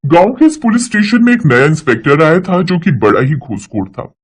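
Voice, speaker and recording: A man's voice: on the high side, very animated, a little fast. A man narrating a scripted cartoon story as the storyteller.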